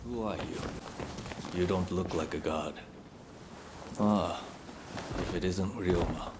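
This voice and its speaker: Deep, grave, dignified prisoner. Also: male voice, steady tone, noisy background. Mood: stoic, resigned. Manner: formal, measured, stoic. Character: grave, dignified imprisoned master, stoic and unyielding. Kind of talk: video game cutscene acting. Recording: faint background ambience.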